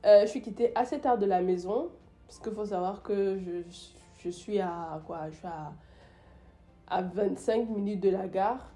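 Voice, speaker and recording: A woman's voice: medium pitch, mellow. A young woman with the flu tells a story about her day in a casual vlog, with many fillers and restarts. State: sick with the flu. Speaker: YouTuber.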